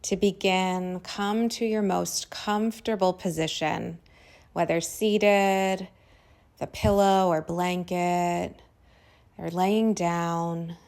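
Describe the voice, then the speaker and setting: A woman leading a guided meditation in a soothing, unhurried manner, speaking in short phrases with long pauses. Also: on the low side, steady tone, a little mellow. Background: singing bowl meditation music.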